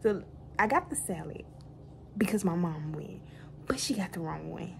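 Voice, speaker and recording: Chatty young woman with a gravelly edge. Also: lowish voice, moderate pace, slight background noise. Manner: chatty, rambling, relaxed, talks to viewers as y'all. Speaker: vlogger. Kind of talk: casual day-in-my-life vlog. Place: bedroom at home, indoors; later in a car in heavy rain. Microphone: phone camera held close at arm's length.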